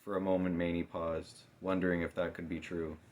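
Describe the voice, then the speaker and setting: Low-pitched male audiobook narrator. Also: flat delivery, a little fast. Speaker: author narrating own novel. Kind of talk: scripted audiobook narration, dramatic storytelling.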